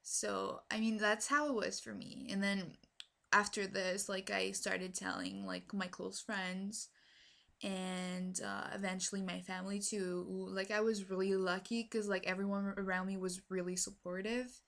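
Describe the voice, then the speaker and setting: A woman's voice: medium pitch, measured pace, vocal fry, a bit bright. A young woman from French Canada with a French Canadian accent, French her first language, telling a personal story to camera in a rambling way, full of fillers and restarts, moving about as she talks. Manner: moves around while talking. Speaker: vlogger.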